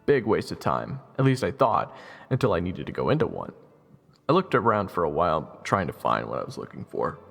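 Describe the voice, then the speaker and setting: Gravelly, flippant young adventurer, played by a man. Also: sits low, wildly swooping pitch, brisk, a bit bright, faint music behind, exaggerated voice. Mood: wry narration. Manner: wry, casual, awkward and hesitant. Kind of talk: audio roleplay acting with first-person narration.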